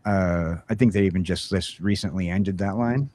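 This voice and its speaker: A middle-aged man hosting a casual geek chat, rambling and haltingly repeating his words as he goes. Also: low-pitched, mellow. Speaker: podcast host.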